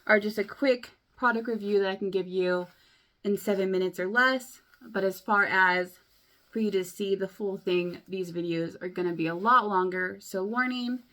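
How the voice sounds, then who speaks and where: Chatty young woman, slightly hoarse. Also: mid-range voice, normal speed, fairly bright tone. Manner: chatty, rambling, many likes and ums. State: a bit hoarse from a cold. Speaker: beauty vlogger. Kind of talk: casual chat to camera.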